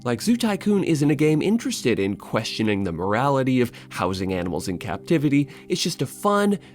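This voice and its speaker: A man's voice: mid-range voice, sing-song, a little fast, darkish tone. A man narrating a scripted video essay in a wry, informal way, slipping in casual asides. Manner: wry, informal, dry humour.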